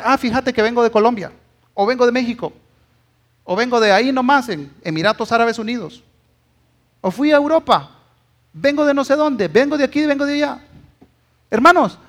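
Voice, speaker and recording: A male pastor with a Guatemalan accent delivers a sermon in a notably high-pitched voice. He exhorts his listeners emphatically and punctuates his points with short rhetorical questions. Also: lively intonation, moderate pace, fairly bright tone.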